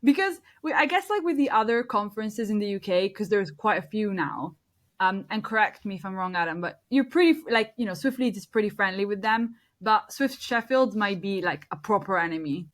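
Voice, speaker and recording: A woman hosting a podcast in casual chat, bubbly and quick to laugh. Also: mid-range voice, expressive. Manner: bubbly and giggly. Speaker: podcast host.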